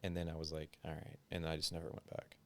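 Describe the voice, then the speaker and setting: A man's voice: very deep voice, monotone, fast, vocal fry, fairly bright tone. A young man chatting casually as a podcast guest, rambling and profane, with lots of "like" fillers.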